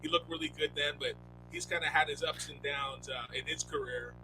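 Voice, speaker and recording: A man co-hosting a casual sports podcast, talking expressively with sharp jumps in pitch on key words. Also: fairly even intonation, brisk, over music. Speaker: podcast co-host. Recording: noisy line with hum.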